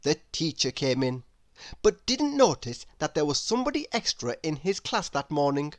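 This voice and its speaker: A woman's voice: low voice, big pitch swings, normal speed, no room sound. A woman reading a children's story aloud as narrator. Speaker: storybook reader.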